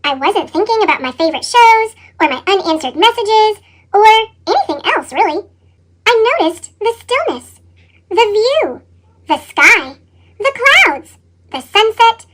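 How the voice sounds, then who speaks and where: Chipmunk-pitched woman reading a children's storybook. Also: a little slow, poor audio. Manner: exaggerated, expressive delivery with acted sound words. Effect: sped-up chipmunk pitch shift. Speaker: children's picture book reader. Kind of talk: animated read-aloud storytelling.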